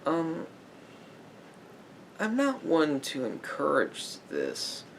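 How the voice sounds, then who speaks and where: A man speaking softly as a therapist in an ASMR roleplay, in a measured, halting, explanatory way. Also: fairly high pitch, huge pitch swings, gravelly, slight background noise.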